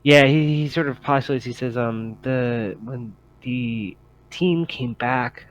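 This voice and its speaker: A man narrating a tabletop game as game master, halting as he thinks aloud. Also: mid-range voice, muffled recording.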